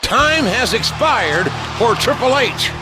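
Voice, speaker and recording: A man's voice: very high voice, very animated, slow, exaggerated voice. A man voicing a play-by-play wrestling commentator in a video game, with emphatic announcer delivery. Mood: announcing. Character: booming male ring announcer commentator. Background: game music.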